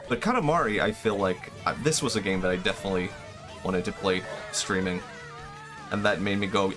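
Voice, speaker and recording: Swedish guy streaming games, kinda low voice, rambly and self-deprecating, laughs a lot, soft music behind. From Sweden. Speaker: game streamer. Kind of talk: casual live-stream chat while gaming.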